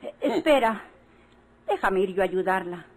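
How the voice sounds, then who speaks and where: A woman's voice: mid-range voice, animated, normal speed. An actress playing an emotional, pleading Mexican mother and wife in an old-time radio melodrama. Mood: gentle pleading. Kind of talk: golden-age radio drama acting.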